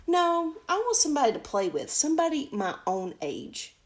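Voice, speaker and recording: A woman's voice: sits high, big pitch swings, normal speed, voice pushed up. A woman voicing a bored young storybook princess who wants to play, with a plaintive, whiny manner.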